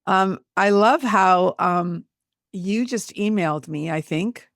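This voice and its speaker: A woman's voice: medium pitch, slow, no room sound, studio quality. A middle-aged woman with a North American accent hosting a podcast interview, chatty and full of fillers. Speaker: podcast host.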